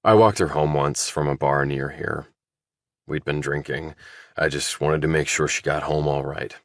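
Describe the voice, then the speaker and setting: A man's voice: extremely low pitch, fairly even intonation, moderate pace, dry recording, studio quality. A man voicing a guarded, polite young man in audiobook dialogue. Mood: earnest.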